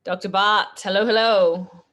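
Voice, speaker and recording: A woman with an Australian accent hosting a live podcast chat over a video call, her voice a bit croaky, chatty and quick to laugh. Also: medium pitch, steady tone, fairly bright tone. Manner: chatty, laughs often, fillers. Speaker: podcast host. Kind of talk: casual live-stream chat.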